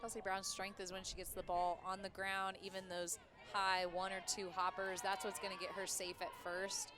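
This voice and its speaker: A woman working as a color commentator, giving live softball analysis in a conversational, explanatory way. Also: medium pitch, monotone, normal speed. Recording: music and crowd behind, outdoors. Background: music, ballpark crowd.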